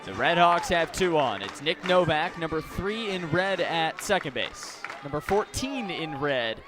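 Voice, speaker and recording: A man calling baseball play-by-play live on the radio, phrasing deliberately and swelling on the big plays. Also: on the high side, sing-song, music in the background. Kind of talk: live radio sports commentary. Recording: noisy outdoor ballpark with crowd. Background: ballpark crowd noise.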